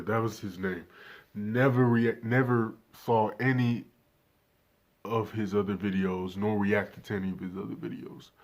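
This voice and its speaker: A nineteen-year-old man doing casual reaction commentary, laid-back and swearing freely, talking straight to his viewers without a proper microphone. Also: lowish voice. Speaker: reaction channel host.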